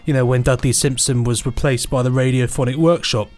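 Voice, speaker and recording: Enthusiastic male podcast co-host, halting delivery. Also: mid-range voice, steady tone, a little mellow. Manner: enthusiastic, halting with repeated phrases. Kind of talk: casual chat.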